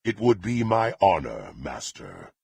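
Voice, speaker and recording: A man playing a murderous, proud warrior in a fantasy video game, with a gravelly, raspy voice and archaic, haughty, theatrical delivery. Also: sits low, huge pitch swings, unhurried, a little mellow, no room sound, exaggerated voice. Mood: deferential, proud. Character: murderous, menacing, proud warrior serving a dark lord.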